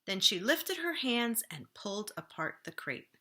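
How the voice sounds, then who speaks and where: Bright-voiced woman reading a children's story. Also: medium pitch, very animated. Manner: deliberate, dramatic emphasis with drawn-out phrases. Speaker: children's librarian reading aloud. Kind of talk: expressive children's storybook narration.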